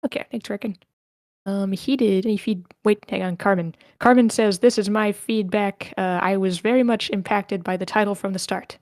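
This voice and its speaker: A woman's voice: mid-range voice, steady tone, moderate pace, a little mellow, no room sound. Woman hosting an online writers meetup, casual, reads stuff out, really dry sound no room. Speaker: host moderating a writers' feedback session. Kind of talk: casual moderating and reading out written comments. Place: live online meeting room. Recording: online group meeting.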